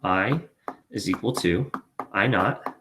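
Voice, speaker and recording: A man's voice: lowish voice, takes it slowly, noisy background. A man explaining a worked problem step by step as a tutor, informally thinking aloud. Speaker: physics tutor.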